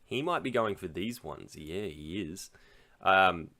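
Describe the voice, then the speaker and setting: A man's voice: deep voice, animated, slow pace, sharp tone. An Australian man talking casually while playing online chess, rambling and thinking aloud, often laughing. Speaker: chess streamer.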